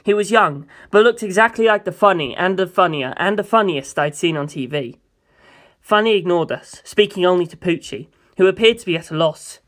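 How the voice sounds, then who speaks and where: Steady narrator reading a novel aloud. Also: medium pitch, normal speed. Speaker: amateur audiobook reader. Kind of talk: scripted narration of a novel, first person.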